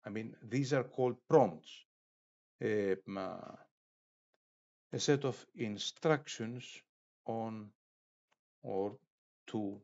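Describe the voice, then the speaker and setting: A middle-aged man giving an instructional demonstration as an online course lecturer, speaking in a halting, deliberate way with frequent hesitations. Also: fairly low pitch, a little mellow. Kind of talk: instructional screen demonstration, unscripted. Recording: dull, muffled lo-fi sound.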